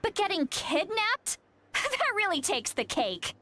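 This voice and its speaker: A woman's voice: very high voice, very animated, unhurried, sharp tone, full character voice. A woman voicing a youthful-looking granny in an anime game, stern, sarcastic and exasperated but secretly caring. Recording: music behind the voice.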